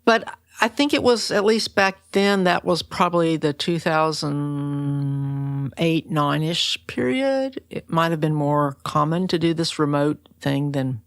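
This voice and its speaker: A woman's voice: low-pitched, measured pace, no echo, clean studio sound. An American woman, middle-aged or older, hosting a conversational podcast interview.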